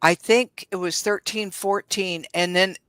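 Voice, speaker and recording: A woman's voice: medium pitch, fairly even intonation, measured pace, fairly bright tone, no echo, studio quality. A woman of about seventy chatting casually and colloquially as co-host of a live stream.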